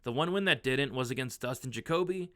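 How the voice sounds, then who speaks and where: A young man, hoarse from losing his voice, casually talking through his fight betting picks with lots of fillers and restarts. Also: medium pitch, expressive, brisk, fairly bright tone. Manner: rambling, filler-heavy, frequent restarts. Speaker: sports betting analyst.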